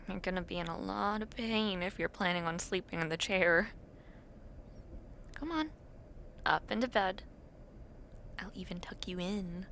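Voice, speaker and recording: A young woman acting an affectionate, teasing university student in an intimate roleplay, talking softly and playfully to the listener late at night. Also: mid-range voice, slight background noise. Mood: tender, coaxing. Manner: soft, playful, teasing, with breathy murmurs.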